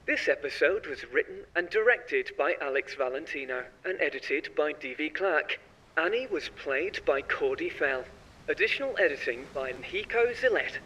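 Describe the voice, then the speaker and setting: Male announcer, scripted credits read, fairly high bright voice, slightly distant mic with faint rumble.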